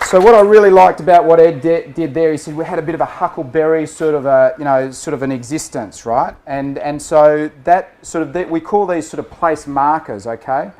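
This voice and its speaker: A man's voice: on the high side, brisk, hardly any pauses, a little mellow, a little hiss or hum. A man running a storytelling workshop for a group, speaking casually and often checking in with "okay?" and "right?". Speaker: workshop facilitator. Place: room with a group of people.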